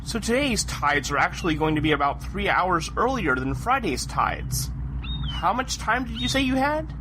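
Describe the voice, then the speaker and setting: Woman as animated, nerdy lab director. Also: fairly low pitch, normal speed, background noise. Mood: dry, correcting. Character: brisk, nerdy female lab director explaining science. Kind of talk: scripted educational comedy skit.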